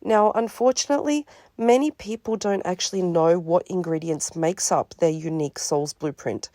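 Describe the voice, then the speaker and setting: A woman with a slightly sniffly voice talks in a calm, measured way, as if reading a prepared script about her counselling work. Her voice sits low and mellow, recorded close and dry with no room sound. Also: no echo. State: a little sniffly from a cold. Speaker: spiritual counsellor presenting her service. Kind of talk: scripted promotional talk.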